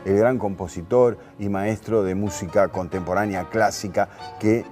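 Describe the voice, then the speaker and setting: Argentine man hosting over soft background music. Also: fairly low pitch, steady tone, normal speed. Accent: Argentine Spanish. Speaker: radio host and music programmer. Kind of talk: music programme commentary.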